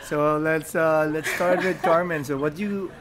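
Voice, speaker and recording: A man's voice: on the high side, steady tone. Filipino man chatting over crowd noise. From Philippines. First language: Filipino languages. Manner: halting, many restarts and fillers. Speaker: podcast guest. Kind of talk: casual chat.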